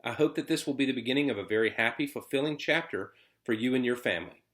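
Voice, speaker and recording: A man's voice: medium pitch, fast, fairly bright tone. A man in his thirties, the video editor, reading a letter aloud in a matter-of-fact way.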